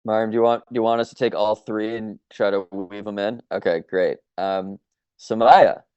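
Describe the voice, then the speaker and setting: A man's voice: lowish voice, fairly even intonation, moderate pace, a little mellow, smooth, dry recording. A man moderating a video call informally, handing over between speakers. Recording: dull, dry video call audio.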